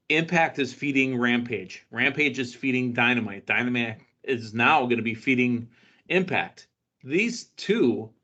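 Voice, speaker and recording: A man's voice: medium pitch, bright, forceful tone. A man hosting a wrestling podcast, recapping matches in casual, upbeat chat. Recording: dull lo-fi audio.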